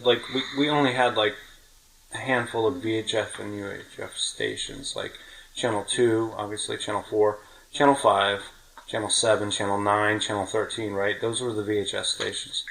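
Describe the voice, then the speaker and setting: A man's voice: fairly low pitch, normal speed, creaky, a bit bright, low-quality recording. A middle-aged man hosting a podcast, chatting casually and reminiscing in a talkative way, often rephrasing himself. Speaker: podcast host.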